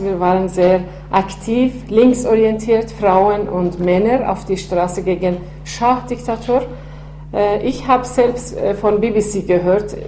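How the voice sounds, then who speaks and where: A woman in her sixties from Iran, speaking German with an Iranian accent, telling her life story haltingly with many fillers. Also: mid-range voice, mellow. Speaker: interviewee. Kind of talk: personal testimony. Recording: echoey room with faint hum.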